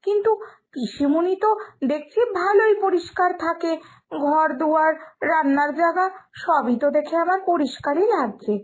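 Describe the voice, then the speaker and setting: A woman's voice: very high-pitched, normal speed. A woman voicing a polite, naive young daughter-in-law in a cartoon drama, earnest in manner, with a West Bengal accent. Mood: puzzled.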